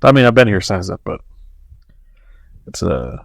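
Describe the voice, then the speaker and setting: A man's voice: low-pitched, sing-song, moderate pace, creaky, dry recording, studio quality. A man from Mexico, a podcast host, talking loosely and profanely with plenty of laughter. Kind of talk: casual chat.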